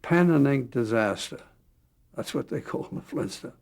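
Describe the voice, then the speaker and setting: A man with a husky, gravelly voice telling an anecdote in an interview. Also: medium pitch, lively intonation, normal speed, a little mellow.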